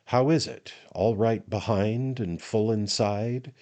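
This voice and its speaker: A man's voice: lowish voice, slow pace, dark tone, pitched above the performer's own voice. A man voicing a grave, droll senior coachman with a Cockney accent in a comic storybook. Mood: gravely businesslike. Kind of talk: dramatised audiobook reading of a comic Victorian novel.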